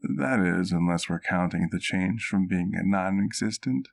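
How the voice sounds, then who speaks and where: A man's voice: deep voice, gravelly. A man playing a courtly, doting vampire doctor in an ASMR roleplay, speaking intimately to the listener in formal, precise words. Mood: gentle, reflective. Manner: formal, precise, measured wording.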